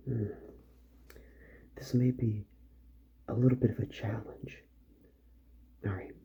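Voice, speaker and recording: A man doing a soft-spoken ASMR roleplay as a calm, methodical repair doctor, musing gently to himself and often drifting into a whisper. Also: medium pitch, slow pace, creaky, breathy edge. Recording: muffled audio with faint background noise.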